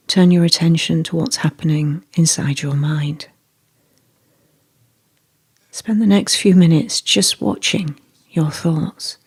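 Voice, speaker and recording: A meditation guide reading a scripted guided meditation in a soft, breathy, measured and deliberate way. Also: medium pitch.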